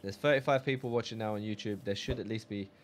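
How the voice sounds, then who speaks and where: A man's voice: fairly low pitch, fast, background noise. A man chatting casually on a game live stream, reading viewer messages aloud.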